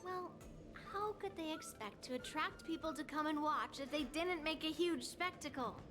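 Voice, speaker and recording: A woman's voice: sits high, moderate pace, over music, natural voice. An actress playing a confident young female sword master in an anime-style video game, self-assured, teasing and slightly bossy as a mentor. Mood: amused.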